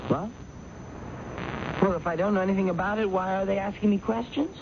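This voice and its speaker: An actor playing a timid, flustered office worker in a radio drama, hesitant, deferential and stammering. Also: male voice, sits high, fairly even intonation, fairly quick. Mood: flustered, anxious. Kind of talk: old-time radio drama acting. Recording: dull, noisy old radio broadcast.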